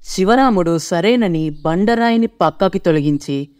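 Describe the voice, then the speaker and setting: A man's voice: high-pitched, animated, measured pace, a little mellow, no echo, clean studio sound. A man narrating a scripted cartoon story as its storyteller.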